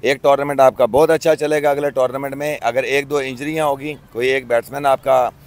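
A middle-aged club cricketer from Pakistan giving his views outdoors in a casual interview, earnest and often pausing on fillers. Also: medium pitch, fairly even intonation. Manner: earnest, many hesitation fillers. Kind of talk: opinionated casual talk. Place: outdoors by a park road. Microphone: handheld mic.

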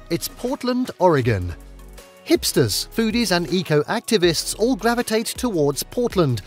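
A man with a high, bright-sounding voice reads a scripted travel narration. His pitch swings widely as he delivers an upbeat countdown, with soft music playing faintly underneath.

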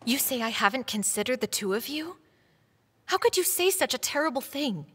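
A woman's voice: high-pitched, expressive, moderate pace, a bit bright, husky, heavily acted. A woman playing a devout, defiant middle-aged wife in a dubbed stage drama, earnest, preachy and challenging. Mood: indignant, reproachful. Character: devout, defiant wife pursued for her faith. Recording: reverberant hall-like sound.

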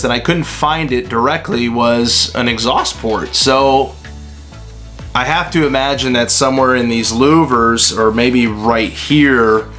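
A man in his thirties, an HVAC technician, casually reviewing a tool at a desk in a small workshop, talking in a relaxed way with fillers. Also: mid-range voice. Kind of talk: casual product review vlog. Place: small indoor workshop with bookshelves.

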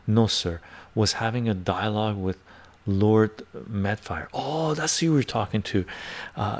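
An older man hosts a live stream from his book-lined home study on a lapel mic. His gravelly voice sits on the low side, and he talks emphatically, repeats himself and keeps asking "right?", sometimes sinking to a soft whisper. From born in Iran, raised in western Canada. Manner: emphatic, repetitive, asks "right?" often, some soft whispering. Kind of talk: casual live stream talk reading chat.

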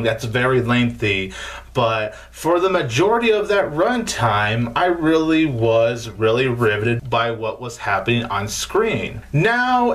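A man's voice: on the high side, a bit bright. A man in his twenties reviewing movies casually to camera, leaning on emphatic words, recorded indoors in a small room. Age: 20s.

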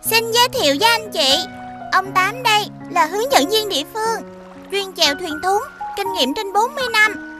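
A little cartoon girl with a very high, chirpy voice. She presents things eagerly, like a proud younger sister, over prominent music. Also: animated, normal speed. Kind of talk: animated cartoon dubbing.

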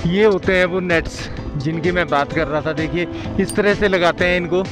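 A man's voice: sits high, normal speed, over loud music. A man from India narrating a travel vlog casually and enthusiastically, mixing English words into his speech and addressing viewers directly. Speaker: travel vlogger. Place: outdoors on mountain roads and in orchards.

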